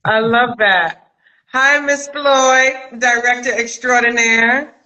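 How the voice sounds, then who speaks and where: Bright-voiced woman hosting a live chat. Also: on the high side, steady tone, slow pace, lo-fi sound. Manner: effusive, gushing. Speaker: host of a live chat interview, audio drama maker. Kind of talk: casual live-stream chat. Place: live video call on social media.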